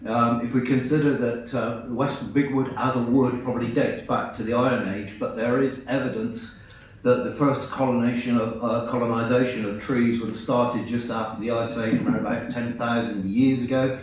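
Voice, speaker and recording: A man with a hoarse voice from a cold, giving an earnest, halting presentation with slides at a public meeting. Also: medium pitch, fairly even intonation. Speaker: invited speaker at a public meeting. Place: large echoey meeting hall. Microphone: far from the microphone. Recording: echoey hall, distant, dull sound.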